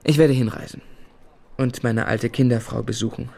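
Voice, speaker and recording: An actor playing a courteous, affectionate young man who tells his life story in measured phrases in a radio play. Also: mid-range voice, fairly even intonation, gravelly, a little mellow, no room sound. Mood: friendly, eager. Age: young adult. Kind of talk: literary first-person narration and radio play acting.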